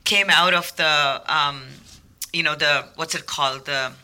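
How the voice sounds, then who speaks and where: A man's voice: a little high, fairly even intonation, a little slow, bright, forceful tone, clear, resonant tone. A man from India, a co-host in a casual chat, thinking aloud and groping for words. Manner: thoughtful, gropes for words.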